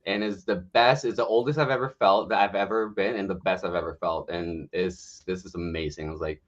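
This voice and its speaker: A man's voice: deep voice, fairly even intonation, fairly quick, lo-fi sound. A man in his late thirties from Mexico tells his life story as a guest on a morning livestream, speaking frankly and poking fun at himself. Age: 30s. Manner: candid, self-mocking storyteller who laughs often. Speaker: guest health coach.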